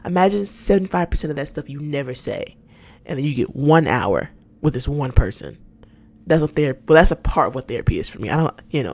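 Young woman, 19, podcast host. Low-pitched, very lively intonation, candid and chatty. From Atlanta, Georgia. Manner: chatty and candid, quick laughs, trails off and restarts. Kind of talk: casual solo podcast chat.

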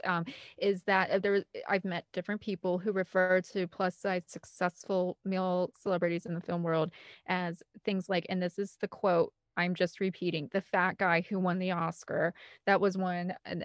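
A woman's voice: lowish voice, dry recording, studio quality. A woman giving an interview as a guest, telling personal stories in a candid, rambling, earnest and conversational way. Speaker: interview guest, film composer and director.

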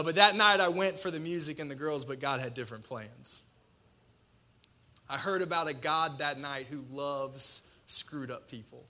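A man in his thirties preaching a personal testimony in a colloquial, self-deprecating way, with dramatic pauses and a slight stutter. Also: on the high side, pushed and bright. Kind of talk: personal testimony sermon to an audience.

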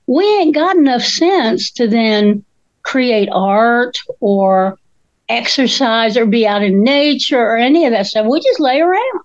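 A woman, co-hosting a casual chat in a slow, thoughtful way. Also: on the high side, lively intonation, dry recording, dull audio. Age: 60s. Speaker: co-host.

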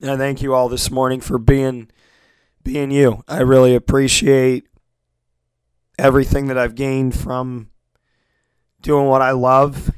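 Male sports show host, hoarse from a lost voice, flat earnest delivery, dry close sound. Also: mid-range voice, unhurried, long pauses, no echo. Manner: earnest, repetitive, grateful, many restarts. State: losing his voice, hoarse. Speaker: sports talk radio host. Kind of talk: solo internet radio show monologue.

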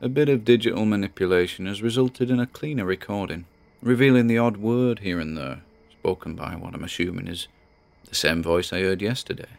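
A low-pitched British actor plays an obsessive amateur sleuth keeping an audio log. He is chatty and wry, often interrupting himself as he talks through his findings in this audio drama. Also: a little fast, gravelly. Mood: absorbed, uneasy. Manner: informal, self-interrupting, wry. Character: obsessive, chatty amateur investigator grandson named Nigel.

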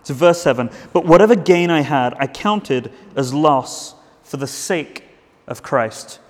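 A man's voice: on the high side, animated, unhurried, long reverb tail. A man preaching a sermon in a church, earnest, with frequent restarts and fillers.

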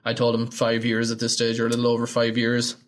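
A man's voice: medium pitch, monotone, fast. A man in his twenties with an Irish accent from Galway, co-hosting a casual podcast and telling stories in a chatty way. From Ireland. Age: 20s. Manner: chatty storyteller, acts out quoted people in raised voices. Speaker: podcast co-host.

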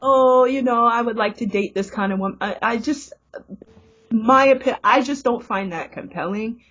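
A woman co-hosting a podcast, giving measured, analytical opinions in casual conversation. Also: fairly high pitch, a bit bright, breathy edge. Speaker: podcast co-host. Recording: dull lo-fi recording.

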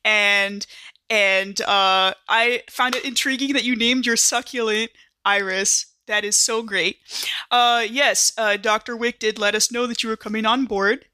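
A big, muscular male Marine, played by a woman in a tabletop game. He is easygoing, eager and friendly in an informal way, with a bright, dry-recorded voice. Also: fairly high pitch, fairly even intonation, a little slow, clear, resonant tone, dry recording. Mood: welcoming.

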